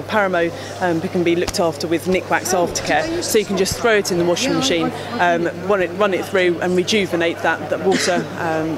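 A woman in her thirties with a lowish voice explains gear at a trade show stand, speaking into a handheld mic. She talks with frequent ums and restarts. A steady crowd murmur fills the hall behind her. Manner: explanatory, many fillers and restarts. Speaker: company representative. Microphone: handheld mic held by interviewer.

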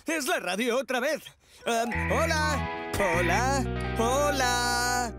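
A man's voice: very high voice, animated, very slow, exaggerated voice. A man playing a goofy, hesitant, bumbling fireman in a children's cartoon dub. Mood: flustered. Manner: hesitant, drawn out. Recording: loud music behind the voice.

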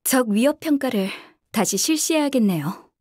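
Poised, high-voiced military announcer. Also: female voice, lively intonation, darkish tone, no echo, studio quality. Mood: analytical. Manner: formal, polite, measured military reporting. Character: poised, courteous young female military operations announcer. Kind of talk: scripted game voice acting, tactical radio briefing.

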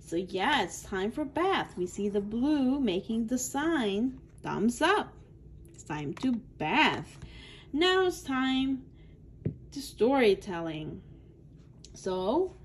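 A woman's voice: fairly high pitch, a little mellow, a little hiss or hum. A woman reading aloud to young children in an instructional way, adding her own asides and stretching out key words. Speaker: children's book reader.

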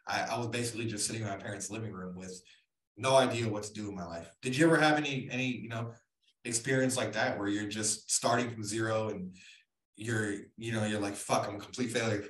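A man's voice: fairly low pitch, fairly even intonation, fairly quick, a bit bright. A young man speaking as an interview guest, punchy and persuasive, asking rhetorical questions, on a studio mic over a video call. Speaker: guest entrepreneur. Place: room at home. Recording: roomy echo.

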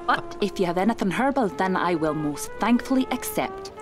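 A woman playing an elderly spirit medium in a period audio drama, prim and dryly teasing, speaking in a deliberate, proper way. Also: medium pitch, animated, over music, natural voice.

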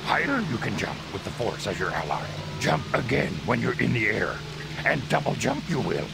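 An actor voicing a tiny ancient alien Jedi master, croaky, teaching in inverted word order in a video game. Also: male voice, medium pitch, big pitch swings, moderate pace. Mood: instructive, stern. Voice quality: croaky, gravelly. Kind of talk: video game tutorial acting. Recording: loud music behind.

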